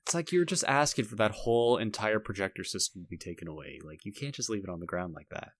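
A man's voice: on the low side, animated, fast talker, no room sound. Rambling man in dry, casual podcast chat. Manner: rambling, self-interrupting. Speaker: podcast co-host.

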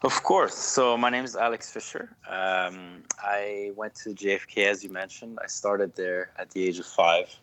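A man in his thirties from Switzerland speaks as an interview guest, his voice sitting a bit low. He tells his stories in a relaxed way, with fillers and laughter along the way. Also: moderate pace, hardly any pauses, a little hiss or hum, poor audio. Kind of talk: casual interview.

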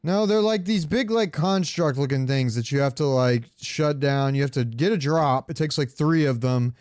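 A man's voice: a little high, normal speed, a little mellow, dry recording, studio quality. A man co-hosting a podcast, chatting casually about video games and rambling as he searches for words. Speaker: podcast co-host.

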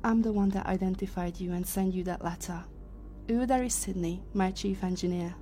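Sci-fi audio drama: actress as female time-traveler leader, mellow, measured, in command, light music bed. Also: mid-range voice, lightly acted. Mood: composed. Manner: measured, authoritative, explanatory. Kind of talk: science fiction audio drama acting.

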